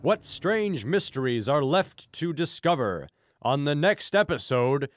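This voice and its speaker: Man as slow, portentous radio serial narrator. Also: fairly high pitch, very animated, no room sound. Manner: dramatic, portentous. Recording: loud music under the voice.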